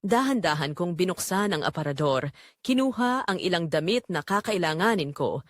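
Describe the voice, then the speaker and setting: A woman with a Filipino accent reading a scripted first-person romantic drama as a story narrator, in a measured, dramatic storytelling manner. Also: fairly low pitch, expressive, no echo, studio quality.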